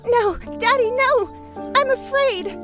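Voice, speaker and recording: A woman's voice: very high voice, takes it slowly, music in the background. A woman voicing a frightened little girl in an audio drama memory scene. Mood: terrified. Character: frightened little girl calling to her daddy. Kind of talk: scripted audio drama acting.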